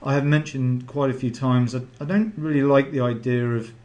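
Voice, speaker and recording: A man's voice: mid-range voice, fairly even intonation, normal speed, a little mellow. A middle-aged man hosting a podcast, chatty and rambling, with a lot of ums. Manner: chatty, rambling with many fillers. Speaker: podcast host. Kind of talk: podcast interview.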